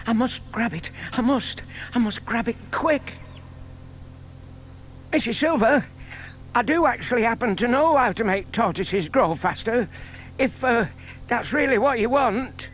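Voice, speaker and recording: An actor playing a shy, lovelorn older bachelor in an audio drama, earnest and hesitant in manner. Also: male voice, extremely high pitch, animated, measured pace, pauses often, a bit bright, a little hiss or hum, heavily acted. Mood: excited. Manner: hesitant, earnest, murmured asides.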